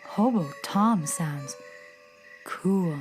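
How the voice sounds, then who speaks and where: A woman's voice: fairly low pitch, animated, very slow, music in the background. An actress playing a cool, playful young beatnik cat-girl in a children's radio drama, speaking theatrically. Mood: curious.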